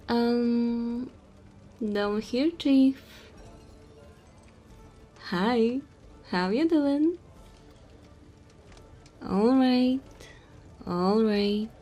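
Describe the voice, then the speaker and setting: A woman's voice: fairly high pitch, mellow. A woman playing a small, cheeky goblin bartender in a fantasy audio roleplay, teasing and chatty with the customer. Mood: playful, welcoming. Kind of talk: fantasy audio roleplay, addressing the listener softly.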